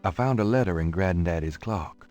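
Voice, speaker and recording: A man's voice: deep voice, moderate pace, a little mellow, highs cut off, lightly acted. A man playing an inquisitive, easygoing grown grandson, the hero of an adventure game, asking casual, probing questions. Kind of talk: voice acting in a 1990s adventure game. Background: game music.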